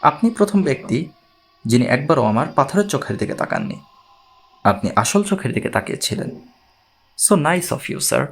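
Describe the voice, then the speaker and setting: A man playing a troubled, courteous man who gravely recounts his own strange story in an audiobook. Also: on the high side, sing-song, moderate pace, long pauses, heavily acted. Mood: courteous, earnest.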